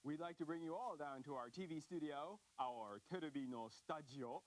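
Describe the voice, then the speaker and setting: An American man hosting a language course, presenting in an upbeat scripted way with slow, careful enunciation. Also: fairly high pitch, soft background music, poor audio. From United States.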